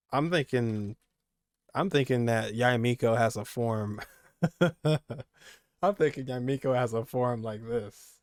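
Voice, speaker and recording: A man's voice: medium pitch, darkish tone, clean tone, dry recording, studio quality. A young man giving casual, rambling reaction commentary as a gaming streamer, repeating phrases for emphasis.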